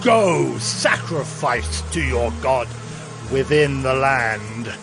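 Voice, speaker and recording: A man playing a proud, imperious Egyptian king in a dramatized scripture audio drama, measured and grudging. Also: medium pitch, animated, vocal fry, music in the background. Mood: grudging concession.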